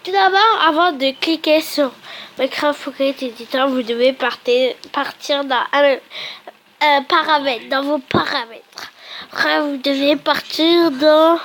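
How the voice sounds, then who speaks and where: A high-voiced woman with a cold and a sore throat walks viewers through a chatty, rambling app tutorial and keeps correcting herself. Faint noise sits under her voice. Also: measured pace, fairly bright tone. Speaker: YouTuber. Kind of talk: casual how-to tutorial.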